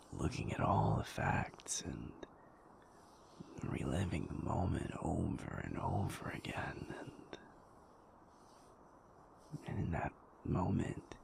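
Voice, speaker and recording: Man playing a whispering, creaky, gentle male werewolf. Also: heavily acted. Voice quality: breathy, creaky low whisper. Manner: soothing, halting, reassuring delivery. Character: gentle, patient male werewolf comforting a sleepless partner. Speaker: audio roleplay performer. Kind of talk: intimate whispered audio roleplay.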